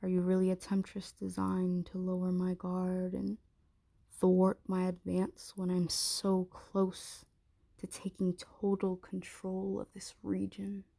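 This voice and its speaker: A woman playing a haughty, menacing dragon queen in an intimate ASMR roleplay, speaking in an imperious, taunting, deliberate way. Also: lowish voice, monotone, creaky, mellow, full character voice. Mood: contemptuous, suspicious.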